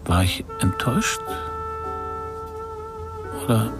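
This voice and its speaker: An actor playing a brooding, guarded investigator in a radio crime drama, laconic and introspective, with a gravelly voice. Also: male voice, on the low side, expressive, slow, loud music behind the voice. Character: brooding, guarded investigator narrating his own case. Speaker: lead actor and first-person narrator.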